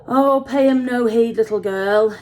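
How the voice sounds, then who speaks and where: A woman's voice: fairly high pitch, unhurried, a little mellow, voice pushed up, close to an everyday voice, rough recording. A woman voicing a kind, protective young male miner with a Yorkshire accent in a story. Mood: kind, reassuring. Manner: dialect words, earnest, coaxing.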